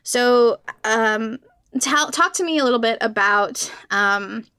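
A woman's voice: fairly high pitch, slow, pushed and bright, smooth, no echo, clean studio sound. A woman hosting an interview in a casual, chatty style. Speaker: interviewer and podcast host.

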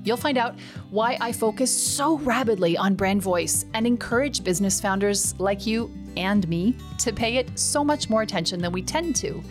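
A woman's voice: medium pitch, normal speed. Brief: middle-aged woman, slight Canadian accent, podcast host, enthusiastic, emphatic, faint music underneath. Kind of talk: scripted solo podcast monologue.

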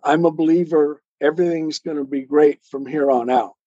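A man's voice: fairly high pitch, steady tone, normal speed, warm tone. A man speaking as an interview guest, earnestly explaining spiritual ideas step by step in a didactic way. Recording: muffled, roomy, lo-fi sound.

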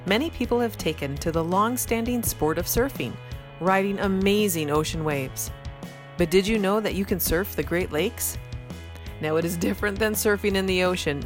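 A woman's voice: mid-range voice, expressive, moderate pace. A woman presenting a scripted podcast segment with a light, wry delivery. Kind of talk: scripted narration. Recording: clear music bed behind her.

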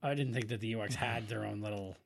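A man's voice: fairly low pitch, fast, gravelly. A man co-hosting a podcast, joking and swearing through casual, exclamatory film commentary. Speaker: podcast co-host.

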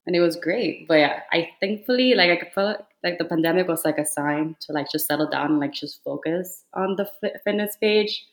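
A woman's voice: on the low side, normal speed, few pauses. A woman in her twenties from Cuba, with a slight Cuban Spanish accent, chatting casually as a podcast guest and using lots of "like" fillers. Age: 20s. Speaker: podcast guest, fitness influencer. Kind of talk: casual chat.

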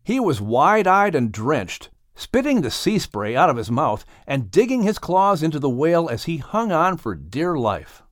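Animated male storybook narrator. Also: fairly high pitch, unhurried, few pauses, no room sound, clean studio sound. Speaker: audiobook narrator. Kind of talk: scripted children's story narration.

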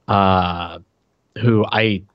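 A man's voice: low-pitched, steady tone, extremely slow, no echo, muffled recording. A man co-hosting a podcast, chatting casually and jokingly in a conversational way. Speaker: podcast co-host. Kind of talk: casual chat reviewing old games.

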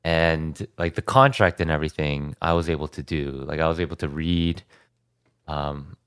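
Young american guy, super deep smooth voice, rambly laid-back chat, dry close sound. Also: normal speed, no echo. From United States. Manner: relaxed, rambling, frequent restarts and fillers. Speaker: podcast guest. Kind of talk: casual conversation.

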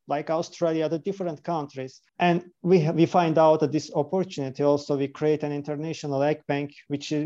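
Turkish-accented man, embryologist, earnest, hunts for words, mellow voice, muffled remote line. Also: on the high side. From Turkey. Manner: earnest, searching for words in non-native English. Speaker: embryologist and clinic director. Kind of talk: remote interview. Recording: dull lo-fi call audio.

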